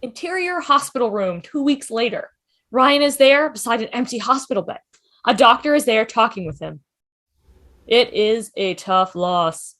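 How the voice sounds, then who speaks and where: A woman's voice: on the high side, expressive, normal speed, fairly bright tone. A woman reading stage directions as scripted narration in a fan table read.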